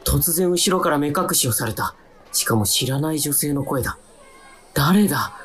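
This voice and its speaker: A man's voice: on the high side, lively intonation, normal speed, a bit bright, a faint crowd. An actor playing a timid young man who narrates his own radio drama with wry, self-deprecating asides. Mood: flustered. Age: young adult. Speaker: narrator-protagonist. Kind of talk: radio drama inner monologue.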